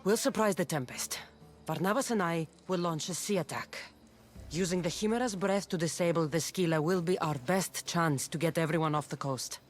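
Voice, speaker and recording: A woman's voice: lowish voice, normal speed, soft background music, close to an everyday voice. An actress playing a confident, wry Greek warrior woman in a video game, with a firm, decisive delivery and a Greek accent. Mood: resolute. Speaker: player character. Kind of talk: cinematic game dialogue.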